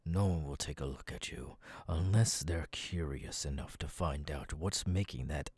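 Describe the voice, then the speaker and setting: A man voicing a cold, domineering demon lord in an ASMR audio roleplay, commanding and contemptuous, dropping into breathy murmurs. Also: rumbling low, moderate pace, a little mellow, no echo. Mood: cold, instructing.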